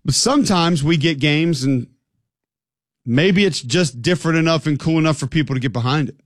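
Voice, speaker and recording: A man's voice: on the high side, normal speed, no echo, clean studio sound. A man hosting a sports talk show, speaking conversationally in an opinionated, emphatic way and throwing out rhetorical questions.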